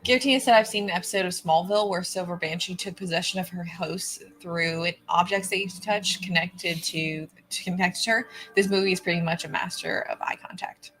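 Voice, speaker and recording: A woman's voice: lowish voice, steady tone, a little fast, few pauses, a bit bright, faint music behind, poor audio. A woman co-hosting a watch party, casually reading out viewer comments in a slangy, easily amused way. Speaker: co-host of a movie watch party.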